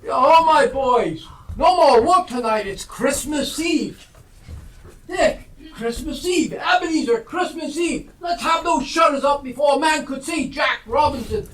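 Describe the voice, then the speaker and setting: Guy doing a merry old boss in a stage play, high voice, calling out, distant echoey recording. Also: expressive, a little slow, fairly bright tone, full character voice. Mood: jolly, bustling. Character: jovial hearty old male warehouse master. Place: theatre stage. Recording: roomy live stage, distant mic, faint noise.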